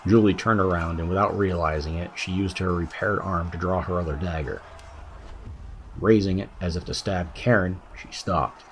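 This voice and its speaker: A man's voice: low voice, fairly even intonation, normal speed, a little hiss or hum. A man giving a scripted narration of a fantasy audiobook as its narrator.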